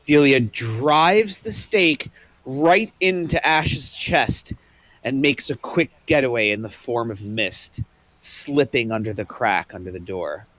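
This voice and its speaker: A man's voice: mid-range voice, expressive, slow, a bit bright, no echo, rough recording. A man reading the stage directions aloud in an online table read.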